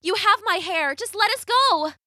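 A woman's voice: extremely high pitch, expressive, moderate pace, bright, forceful tone, no echo, studio quality, pitched above the performer's own voice, full character voice. A woman voicing a brave, frantic young fairy-tale girl, urgent and pleading, in a storybook style. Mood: desperate. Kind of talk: storybook character voice.

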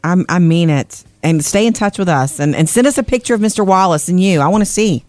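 A woman's voice: fairly low pitch, brisk, a little mellow, dry recording. A woman hosting a radio show, chatting enthusiastically with callers and reading entertainment news.

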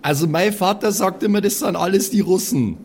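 Man doing a high-voiced earnest schoolboy. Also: fairly quick, a little mellow. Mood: earnest, hesitant. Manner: hesitant, repetitive. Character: well-behaved schoolboy, earnest and naive. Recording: faint background noise.

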